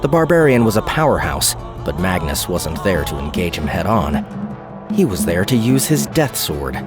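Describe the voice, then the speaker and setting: A man narrating an action-packed audio drama in a measured, storytelling delivery, with music behind him. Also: mid-range voice. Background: music score.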